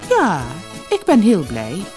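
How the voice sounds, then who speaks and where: A woman's voice: mid-range voice, huge pitch swings, takes it slowly, music in the background, pitched above the performer's own voice. A woman voicing a demanding, never-satisfied fisherman's wife with haughty airs in a fairy-tale audiobook. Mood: pleased. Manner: imperious, complaining.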